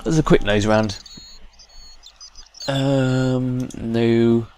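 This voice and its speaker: A man's voice: mid-range voice, steady tone, very slow, a little mellow, slight background noise. A man giving informal, unscripted let's play commentary, thinking aloud as he goes. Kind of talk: casual unscripted game commentary. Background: game music.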